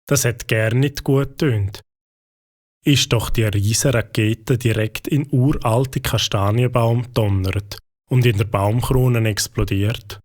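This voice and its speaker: Swiss german guy narrating a kids story, calm and clear. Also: medium pitch, takes it slowly, no echo, clean studio sound.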